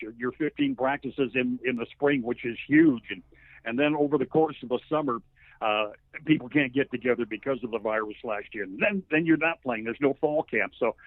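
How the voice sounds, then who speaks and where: A man's voice: medium pitch, no echo. A man in his sixties comes through a thin, phone-like connection with a dry, close sound. He is a sports announcer giving an interview, telling stories in a conversational, folksy and wordy way. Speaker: radio play-by-play sports announcer, interview guest. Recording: narrow phone-like line.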